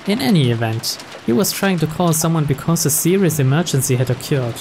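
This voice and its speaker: A man's voice: a little high, lively intonation, brisk, darkish tone, a little hiss or hum. A man reading scripted story narration from a game.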